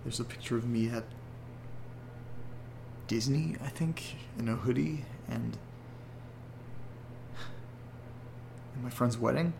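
A man's voice: medium pitch, normal speed, creaky, noisy background, close to an everyday voice. An actor playing an anxious young man who talks hesitantly and rambles, in a horror audio drama. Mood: frightened. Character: anxious young man receiving strange photos.

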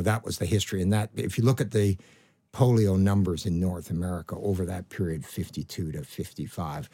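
A man in his seventies with a Canadian accent, speaking as a guest in a conversational interview, rambling with restarts and trailing off quietly. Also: deep voice, husky, dry recording. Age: 70s. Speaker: guest, medical professor.

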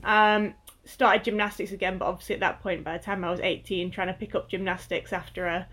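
A woman's voice: medium pitch, little pitch movement, fairly quick, a bit bright. Chatty young woman from Northwest England. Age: 20s. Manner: chatty, lots of fillers, laughs easily. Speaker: guest athlete. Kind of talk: casual interview chat.

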